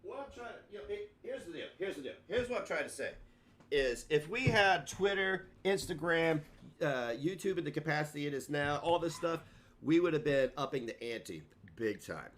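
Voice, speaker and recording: A man's voice: a little high, lively intonation, normal speed, slight background noise. A man, chatting casually on a livestream in a rambling, self-mocking way and tripping over his words. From Kentucky.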